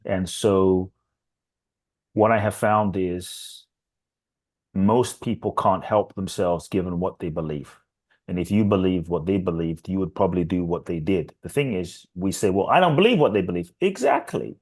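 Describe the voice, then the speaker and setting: Deep-voiced man, earnest teacher. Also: lively intonation, normal speed, a little mellow. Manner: earnest, preacher-like build-ups with repetition. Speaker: podcast guest, transformational teacher. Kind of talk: reflective interview answers.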